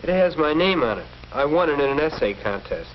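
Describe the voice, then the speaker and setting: An actor playing an earnest, polite teenage schoolboy in a 1940s educational film, speaking deferentially. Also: male voice, fairly high pitch, very animated, fairly quick. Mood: eager, polite. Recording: muffled, noisy old 1940s film soundtrack.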